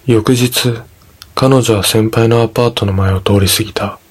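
A man narrating a scripted ghost story in a measured, dramatic storytelling manner. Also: lowish voice, fairly even intonation, breathy edge.

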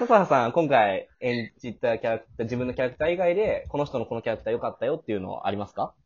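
A man's voice: mid-range voice, lively intonation, fast. A man hosting a casual backstage chat in a chatty, explanatory manner, as a producer talking things through. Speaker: host and producer of an audio drama. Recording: slightly muffled, lo-fi voice call.

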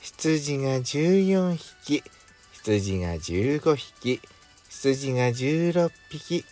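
Guy doing an indulgent boyfriend, dry but soft, bedtime audio drama, lilting delivery. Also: mid-range voice, very animated, very slow, faint music behind. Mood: soothing. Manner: gentle, dry, masculine wording. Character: gruff but doting male lover, calm and indulgent.

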